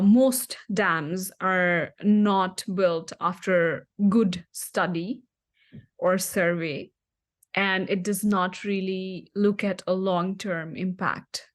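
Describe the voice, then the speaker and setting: A woman's voice: fairly low pitch, fairly even intonation, very slow. A young woman from Nepal, a guest answering in a podcast interview with many fillers and restarts.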